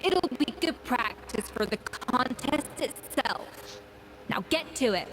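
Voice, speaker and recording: An actress voicing an exacting, proud young master chef in an anime video game, giving precise, bossy instruction. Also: female voice, sits high, expressive, slow, background noise. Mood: brisk, appraising.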